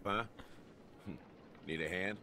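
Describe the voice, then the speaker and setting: A man's voice: deep voice, fairly even intonation, takes it slowly, noisy background. An actor playing a sly, cocky male schemer in a game, talking with teasing, theatrical swagger. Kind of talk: dramatic game voice acting.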